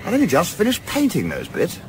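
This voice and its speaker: Male actor as hearty british sailing chap in a radio play, high voice, swoops about, brisk and practical, noisy ambience. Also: wildly swooping pitch. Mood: brisk, busy. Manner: brisk, informal, old-fashioned chummy speech. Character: brisk, hearty, practical young yacht skipper.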